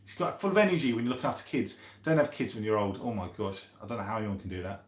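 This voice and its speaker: Male streamer, 50s, very lively pitch, rambling and chummy chat, echoey room. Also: very fast. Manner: rambling, chummy, thinking aloud. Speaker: live stream host. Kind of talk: casual chat, reading out and answering viewer comments. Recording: roomy echo.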